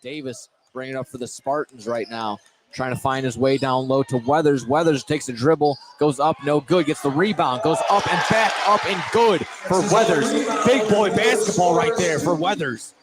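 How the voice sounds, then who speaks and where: A man calling live high school basketball play-by-play as a radio sports announcer. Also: moderate pace. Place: indoor basketball gym, courtside. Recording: noisy live gym ambience. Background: loud game ambience.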